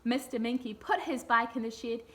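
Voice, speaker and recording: A woman's voice: a little high, moderate pace, fairly bright tone. A woman reading a children's picture book aloud expressively.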